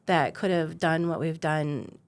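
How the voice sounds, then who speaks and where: A woman in her forties from the United States, talking about her own life in a casual interview. Her voice sits a bit low, and she keeps it loose, with frequent fillers and easy laughter. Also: fairly even intonation, a little slow. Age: 40s. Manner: easygoing, laughs often, many um fillers. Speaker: guest, defense company founder. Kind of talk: conversational interview storytelling.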